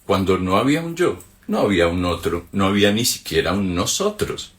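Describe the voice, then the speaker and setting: A Colombian man with a very low voice walks through a spiritual text in an easy conversational way. He keeps checking in with short rhetorical questions as he explains. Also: lively intonation, a little fast, a bit bright. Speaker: spiritual teacher. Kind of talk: reflective spoken commentary on a text.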